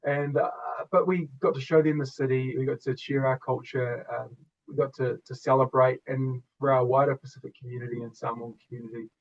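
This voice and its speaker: A young New Zealand man in his twenties, talking earnestly and thoughtfully as an interview guest, often pausing to restart a phrase. His voice sits in an ordinary middle pitch, and the recording sounds a little dull and muffled. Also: steady tone. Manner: reflective, earnest, with soft laughs and restarts. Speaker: guest, former student. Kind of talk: conversational interview.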